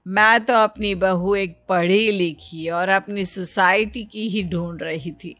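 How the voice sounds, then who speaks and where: A woman's voice: medium pitch, steady tone, takes it slowly, a bit bright, dry recording. A woman voicing a scheming, haughty rich mother-in-law with an imperious, calculating manner in a storybook tale. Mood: evasive, falsely resigned. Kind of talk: storybook character acting. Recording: music bed behind the voice.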